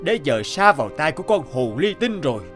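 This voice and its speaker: An actor playing a stern but benevolent old mountain god, solemn and admonishing, in a children's fairy-tale cartoon. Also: male voice, on the high side, very animated, a little fast, a bit bright, faint music behind. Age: older.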